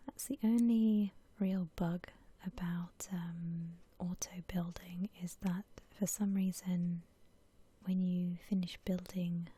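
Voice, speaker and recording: A woman doing whispered ASMR commentary over a video game, gentle and unhurried in a breathy whisper. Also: lowish voice, fairly even intonation. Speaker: video game streamer narrating her building session.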